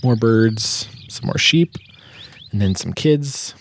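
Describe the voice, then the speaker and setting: Male sound designer, low voice with noticeable creak, informal walk-through delivery. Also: animated, slow pace, a little hiss or hum. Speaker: sound designer presenting his work. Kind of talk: casual explanatory walkthrough.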